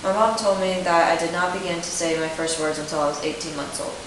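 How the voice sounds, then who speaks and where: A young woman plays a self-conscious teenage client in a role-played therapy session, her voice low. She reads her answers steadily, as if from a script. The sound is roomy and distant, with a constant hiss behind it. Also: fast, natural voice. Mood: earnest. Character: self-conscious eighteen-year-old woman client who stutters. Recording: echoey room, distant mic, steady hiss.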